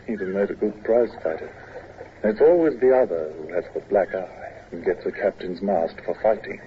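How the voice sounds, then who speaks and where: A man playing a candid, worldly naval shipmate who speaks in a dry, confiding way in a 1950s radio drama. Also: low voice. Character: worldly, candid young shipmate warning a newcomer. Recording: muffled, noisy vintage radio recording.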